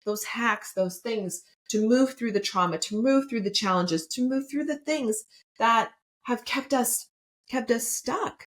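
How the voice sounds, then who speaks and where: A woman's voice: on the high side, a little slow. A woman in her fifties hosting a solo motivational podcast, chatty and enthusiastic, often asking "right?". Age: 50s. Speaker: podcast host and coach.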